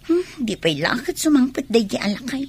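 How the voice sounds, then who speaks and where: Sulky student girl, husky, higher pitched, demanding, radio drama over music. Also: very animated, moderate pace, full character voice. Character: demanding, sulky young female student wanting money. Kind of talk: Ilocano radio drama acting. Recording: music bed under dialogue.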